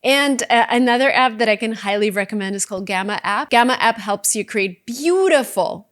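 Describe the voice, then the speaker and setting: A woman's voice: on the high side, animated, moderate pace, fairly bright tone. A woman, giving casual, emphatic, conversational advice as a video creator. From Russia. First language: Russian. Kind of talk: casual talking-head advice vlog.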